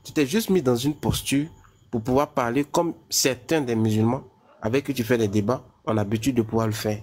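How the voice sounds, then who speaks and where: A man moderating an online debate, calmly restating what others have said. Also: mid-range voice, fairly quick. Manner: calm, restating others' points.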